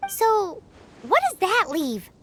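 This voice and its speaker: A woman voicing a tiny, eager fairy companion with a squeaky, childlike voice in an animated video game. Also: takes it slowly, background noise, heavily acted. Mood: puzzled. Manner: perky, refers to self in third person. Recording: game music behind the voice.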